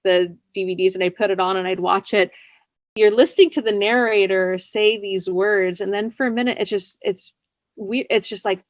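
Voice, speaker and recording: A woman's voice: medium pitch, fairly even intonation, normal speed, no room sound. A woman writer speaking casually as an interview guest, chatty, often laughing as she talks. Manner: chatty, laughs while talking.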